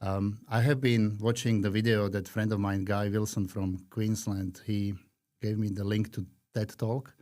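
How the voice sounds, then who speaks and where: A man in his fifties from Slovakia with a Slovak accent, talking earnestly and reflectively as a podcast guest, with frequent pauses. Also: fairly low pitch, flat delivery, a little mellow. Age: 50s. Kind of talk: conversational interview.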